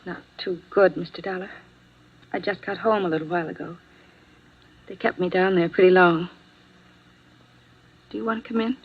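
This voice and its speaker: An actress playing a weary, apologetic nurse, speaking haltingly in an old-time radio drama. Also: female voice, on the low side, steady tone, breathy edge. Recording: dull, noisy vintage radio recording.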